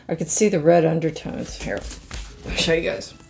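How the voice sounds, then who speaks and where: A middle-aged woman with a hoarse, scratchy voice, chatting slowly to viewers as she reviews art pens and marvels at their colors. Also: low-pitched, expressive, over music. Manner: slow, musing, easily amazed, talks to viewers. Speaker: craft channel host. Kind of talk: casual product review chat.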